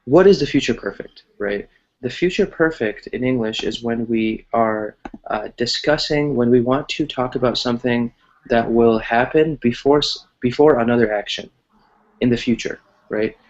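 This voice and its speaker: A man's voice: medium pitch, moderate pace. A man with an American accent teaches English over an online call. He explains in an encouraging, conversational way and often checks that listeners follow. The sound is a little dull and muffled. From United States. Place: online video class.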